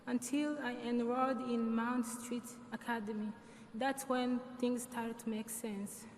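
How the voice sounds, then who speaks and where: A woman's voice: fairly high pitch, fairly even intonation, a little mellow. A young woman with a non-native English accent giving an earnest graduation speech as a student speaker. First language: not English. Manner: earnest, measured delivery. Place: large hall. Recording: hall reverb, faint noise.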